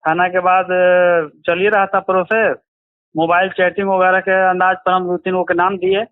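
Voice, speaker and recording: बिहारी लहजे वाला आदमी, पतली फ़ोन आवाज़. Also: male voice, high-pitched, little pitch movement, moderate pace, clean tone, dry recording. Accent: Bihari. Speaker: interviewee, relative of a crime victim. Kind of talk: recounting events in a phone interview. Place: phone call. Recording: tinny, choppy phone line.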